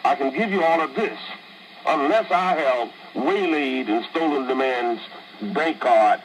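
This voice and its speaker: A man's voice: medium pitch, expressive, unhurried, slight background noise, rough recording. A man bantering as a radio guest, talking in a wordy, pompous way with ornate phrasing. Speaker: guest who hosts his own shows.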